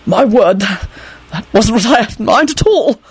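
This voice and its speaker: A nervous middle-aged wizard father, acted out by a woman narrator, pitched high and swinging widely. He dithers and tips easily into frantic panic, like a flustered character in a storybook. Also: expressive, measured pace.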